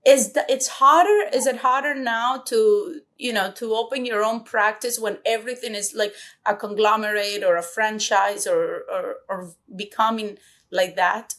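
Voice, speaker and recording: A woman hosting a podcast interview, enthusiastic, her phrasing slightly halting. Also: medium pitch, fairly even intonation, a bit bright. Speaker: podcast host.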